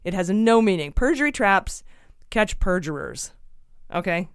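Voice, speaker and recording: A woman co-hosting a casual political podcast, sarcastic and quick to laugh, often restarting her sentences. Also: medium pitch, lively intonation, smooth, no echo, studio quality. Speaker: podcast co-host.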